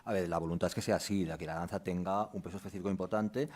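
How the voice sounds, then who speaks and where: A man's voice: low-pitched, fairly even intonation, rapid-fire, a little mellow. A man presenting a theatre season at a press conference, explaining at length with frequent restarts.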